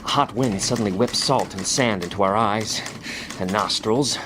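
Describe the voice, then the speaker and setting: Radio drama, very noisy recording: male actor for an obsessed, haunted man, deep-voiced, brooding, prone to whispers. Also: close to an everyday voice. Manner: brooding, halting, sighing, dropping into whispers. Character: haunted, obsessed man writer fearing the living wind.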